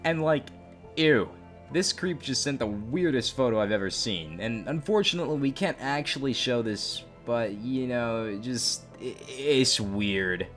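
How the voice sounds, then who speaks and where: Male commentary host, lively swinging pitch, expressive and openly appalled, reading chat logs over a music bed. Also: unhurried. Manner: exclamatory, openly disgusted asides. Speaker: YouTube host narrating a story. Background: background music.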